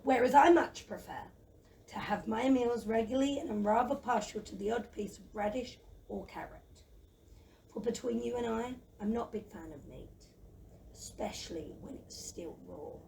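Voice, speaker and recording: A woman in a children's audio play voicing a gentle, lazy, timid dragon who chatters in a plaintive, excitable, wordy way. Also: fairly high pitch, normal speed, vocal fry. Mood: chatty, confiding. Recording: noisy, slightly distant microphone.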